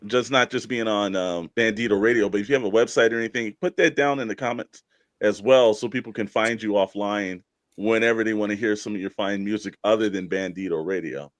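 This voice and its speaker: A man with a fairly low voice hosts a relaxed panel discussion. He speaks earnestly, building short speeches, and the recording is dry with very little room sound. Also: brisk, no echo. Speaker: host. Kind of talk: casual panel hosting.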